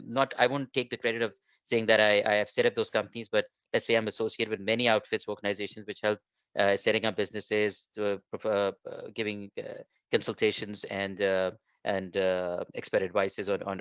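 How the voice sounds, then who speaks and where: A middle-aged man whose first language is Urdu gives a webinar presentation, halting with many fillers and restarts. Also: lowish voice, flat delivery, a bit bright, clean tone, no echo. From Pakistan. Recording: dull lo-fi online call.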